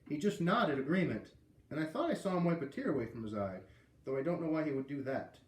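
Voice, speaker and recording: A man's voice: on the high side, animated, fast talker, roomy sound. A man with an American accent reading a children's book aloud, with casual asides. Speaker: read-aloud reader.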